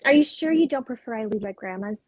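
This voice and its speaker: A woman's voice: on the high side, expressive, fast, darkish tone. A woman acting a restless, searching female character who longs for change, in a dramatic script reading. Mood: reflective.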